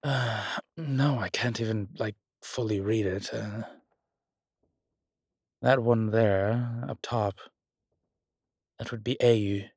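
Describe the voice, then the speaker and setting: A man playing a strong, scholarly demon in a horror roleplay audio, explaining things in a deliberate, halting, pedantic way. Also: lowish voice, fairly even intonation, a little mellow, slightly rough, dry recording, clean studio sound, full character voice. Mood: exasperated, puzzled. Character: strong, scholarly male demon, exasperated occult lecturer. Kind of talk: immersive one-sided roleplay audio drama.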